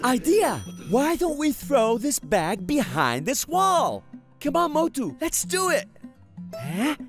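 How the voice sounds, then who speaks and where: An actor voicing an excitable, jittery skinny young man in a cartoon. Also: very high-pitched, soft background music, heavily acted. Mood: eager. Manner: excitable, quick to panic.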